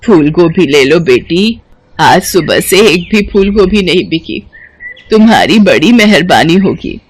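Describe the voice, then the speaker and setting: A woman voicing a humble old vegetable seller with a slow, pleading manner in an animated moral story, music behind. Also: on the low side, a little hiss or hum.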